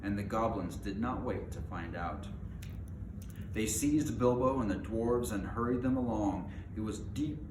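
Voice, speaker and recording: A man's voice: on the low side, a little slow. A man reading a storybook aloud in an informal, homemade way, with casual asides. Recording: roomy echo, clearly noisy background.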